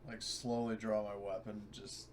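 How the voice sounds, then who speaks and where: A man's voice: lowish voice, level tone, a little slow, a little mellow. A man playing a tabletop roleplaying game, chatting casually about his character and the rules. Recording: very noisy mic with clicks.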